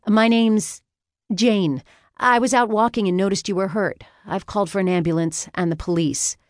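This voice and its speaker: A woman's voice: mid-range voice, steady tone, moderate pace, no echo, clean studio sound, voice pushed up, natural voice. A woman voicing a feisty, sarcastic female rookie private investigator, blunt in manner, in an audiobook story. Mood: urgent, wry.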